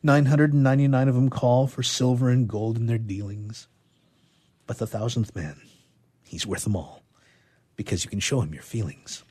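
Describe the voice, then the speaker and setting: A man, a radio theatre player, giving an expressive reading of verse. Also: mid-range voice, normal speed, slightly rough.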